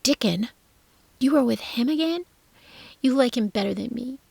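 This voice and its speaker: A woman's voice: on the high side, steady tone, normal speed, vocal fry, slightly rough, no echo, exaggerated voice. A woman voicing a sickly, spoiled rich boy who shrieks and whines in self-pity. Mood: shrill wailing. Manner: loud, whiny, self-pitying.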